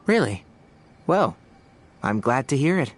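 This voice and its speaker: Calm, articulate young scholar. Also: male voice, medium pitch, measured pace, a little hiss or hum. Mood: reflective, principled, then calm, kindly. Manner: articulate, thoughtful, gently lecturing. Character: young male forest ranger scholar, calm, principled, caring. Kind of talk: video game voice acting, measured dialogue. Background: music.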